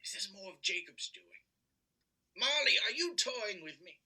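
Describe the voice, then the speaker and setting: A man's voice: sits high, big pitch swings, unhurried, bright, forceful tone, slightly rough, exaggerated voice. A man playing a bitter, frightened old miser in an audio drama, querulous and stammering. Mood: bewildered, uneasy. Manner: stammering, querulous, dry grumbling.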